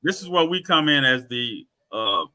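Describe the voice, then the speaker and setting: Bright-voiced man in his forties hosting. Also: mid-range voice, moderate pace, dry recording. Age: 40s. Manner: repeats himself, steady affirming. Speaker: host. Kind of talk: casual panel chat.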